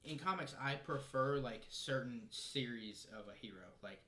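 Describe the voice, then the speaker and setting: A man's voice: medium pitch, normal speed, sharp tone. A man co-hosting a podcast in casual panel chat, informal and full of opinions. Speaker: podcast co-host. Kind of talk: casual panel chat about pop culture. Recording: echoey room with faint noise.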